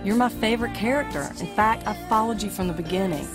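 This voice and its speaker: A woman's voice: medium pitch, quick pace, lightly acted. A woman playing a plain-spoken, folksy diner waitress in her thirties in an amateur audio play. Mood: starstruck. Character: weary mid-thirties female diner waitress, brusque, turning starstruck fangirl. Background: music.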